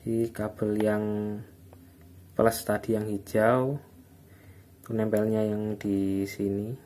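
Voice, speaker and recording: A man narrates a casual hands-on tutorial in an informal, unscripted, halting way, recorded indoors at a workbench. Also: fairly low pitch, little pitch movement, warm tone. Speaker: electronics repair hobbyist giving a tutorial. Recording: background noise, phone or camera microphone.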